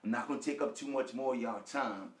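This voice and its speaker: A man's voice: mid-range voice, fast, recorded from a distance. A middle-aged man, talking loosely about his book to his viewers in street slang. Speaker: author and host. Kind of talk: casual monologue book review. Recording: echoey room, voice away from the mic.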